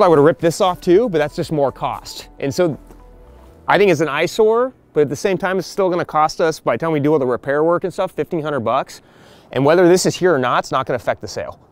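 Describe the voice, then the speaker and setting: A man, walking a group through a house he is flipping outdoors on a porch, talking bluntly and practically. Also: on the high side. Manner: blunt, practical, quoting others in quick asides. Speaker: real estate investor leading a property walkthrough. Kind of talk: casual explaining to a group on site.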